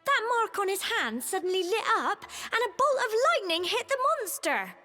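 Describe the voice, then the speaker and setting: A young woman voicing a sweet, earnest village girl in a video game, gentle and wide-eyed. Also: very high-pitched, sing-song, moderate pace, heavily acted. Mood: excited storytelling. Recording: clear music underneath.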